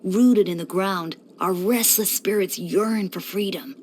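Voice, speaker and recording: A woman's voice: mid-range voice, unhurried, a little hiss or hum, lightly acted. A woman voicing a playful young male church deacon in a video game, breezy and teasing with theatrical mock solemnity. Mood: lofty, reverent. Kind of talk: scripted video game character voice lines.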